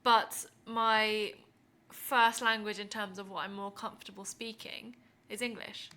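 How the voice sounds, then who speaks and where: Bright-voiced woman, native Korean speaker, chatting. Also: mid-range voice, little pitch movement, measured pace. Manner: informal, colloquial. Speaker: podcast co-host. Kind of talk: casual chat.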